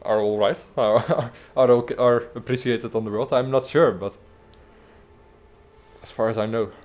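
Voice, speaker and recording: A man from the Netherlands, chatting casually and haltingly while livestreaming a game. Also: mid-range voice. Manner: relaxed, halting, many fillers. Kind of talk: casual gameplay commentary.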